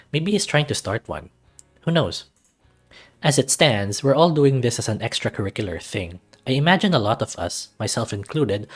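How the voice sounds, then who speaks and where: Low-voiced man reading over music. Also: breathy edge. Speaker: let's-read video host reading a visual novel. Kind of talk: casual reading aloud and chat.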